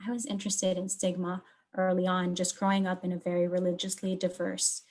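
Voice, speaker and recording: Measured woman researcher presenting. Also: fairly low pitch, monotone, darkish tone. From Lebanon. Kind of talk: explanatory research talk with slides.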